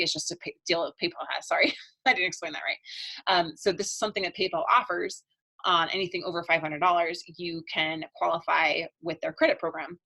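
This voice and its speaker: A woman marriage coach giving a chatty, upbeat pitch in a live online webinar, laughing between points and losing her voice. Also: pushed and bright, low-quality recording.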